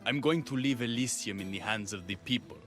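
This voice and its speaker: An actor playing a steadfast rebel leader in a mythic Greek video game. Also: male voice, medium pitch, fairly quick, a bit bright, close to an everyday voice. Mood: resolute. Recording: faint music behind the voice.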